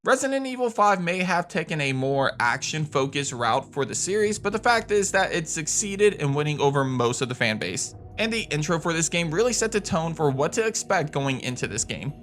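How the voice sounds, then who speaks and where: A man's voice: on the high side, big pitch swings, moderate pace, hardly any pauses, a bit bright, slight background noise. A man hosting a video countdown, giving scripted commentary on video games. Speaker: video host.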